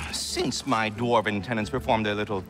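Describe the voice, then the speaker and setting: A man voicing a chatty, genteel talking squirrel in a video game, florid and overly courteous. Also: fairly low pitch. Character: chatty, genteel, theatrical talking squirrel who tends a tree. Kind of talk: video game character acting.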